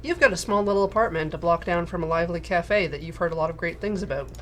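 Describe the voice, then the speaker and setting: A woman's voice: fairly low pitch, quick pace, soft background music. A woman co-hosting a game stream, chatting casually and reading game text aloud. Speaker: streamer and co-host.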